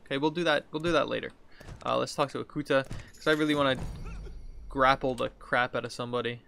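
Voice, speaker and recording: Bright-voiced man with gravelly fry. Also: mid-range voice, steady tone, quick pace, slight background noise. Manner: informal, thinking aloud. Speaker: video game streamer. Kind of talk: casual gameplay commentary. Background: game music.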